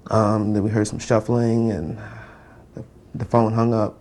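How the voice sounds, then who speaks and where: A man speaking as a family member in an interview, recalling events in a hesitant, halting way. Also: on the low side, flat delivery, darkish tone. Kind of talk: personal recollection in a media interview.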